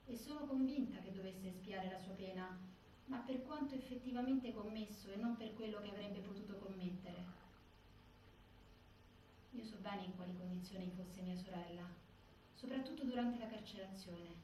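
An actress playing a resolute, grieving sister, delivering a measured, articulate stage monologue. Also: female voice, medium pitch, mellow, close to an everyday voice. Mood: grave, resolute. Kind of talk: scripted theatrical monologue. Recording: very echoey, distant, faint noise.

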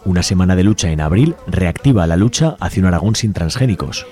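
A man hosting a community radio program, presenting in a relaxed way and sometimes stumbling over words. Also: low voice, fast talker. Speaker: radio host. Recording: faint music bed behind.

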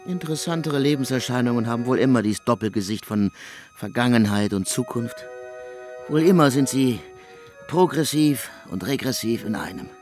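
An actor playing a visionary, coolly ironic intellectual composer in a German radio drama, husky, speaking with deliberate, lecturing emphasis. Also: male voice, medium pitch, lively intonation, a little mellow, faint music behind, lightly acted. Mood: reflective, assured.

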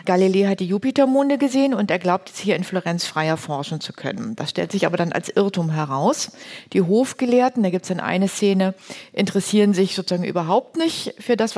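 A woman's voice: on the low side, darkish tone. A woman explaining and retelling in an informal, colloquial, plain-spoken way, as a host in conversation.

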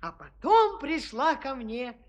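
Cheeky high-pitched shepherd lad. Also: male voice, very animated, slow, exaggerated voice. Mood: mock-innocent. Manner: mock-innocent, teasing storytelling. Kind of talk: theatrical children's audio play acting.

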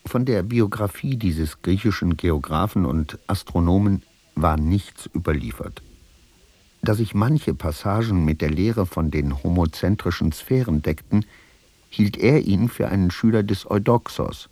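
An actor playing a sober narrator in a radio play, giving a measured, matter-of-fact literary account. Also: male voice, deep voice, warm tone, no echo. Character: sober male narrator recounting an archaeologist's research.

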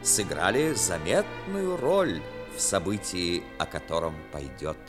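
A man narrating a story in a measured, expressive, dramatic style, as narrator of a 1960s radio play. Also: low voice. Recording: 1960s radio recording with music.

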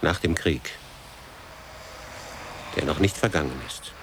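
An actor playing a resigned, forlorn old man in a radio drama, gentle and laconic, speaking in short fragments. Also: very deep voice, slow pace, a little hiss or hum, exaggerated voice. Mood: weary. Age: older.

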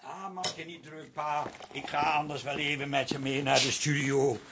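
An actor playing a cheeky, sarcastic younger man in a radio drama, husky and teasing. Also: on the high side, level tone, normal speed, background noise, natural voice. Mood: reassuring.

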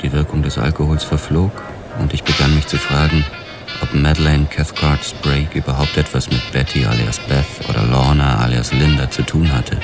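An actor playing a brooding police detective who narrates his case in a measured, world-weary way in a radio drama. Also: extremely low pitch, level tone. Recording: loud music under the voice.

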